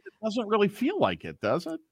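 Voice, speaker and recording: A man's voice: sits high, huge pitch swings, a little fast, husky, no room sound, studio quality. A man who runs a radio station's programming, talking casually on air and thinking aloud with restarts.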